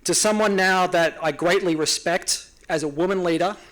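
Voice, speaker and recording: A man's voice: high-pitched, moderate pace, a bit bright. An Australian man presenting an award at a ceremony, speaking in an earnest, formal way with small hesitations. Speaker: award presenter, nonprofit founder. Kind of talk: prepared awards speech.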